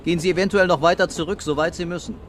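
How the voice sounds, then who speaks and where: An actor playing a brooding, terse police commissioner in a German radio crime drama. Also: male voice, high voice, steady tone, very fast, a little hiss or hum, lightly acted. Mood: brisk, urgent. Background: music and vehicle ambience.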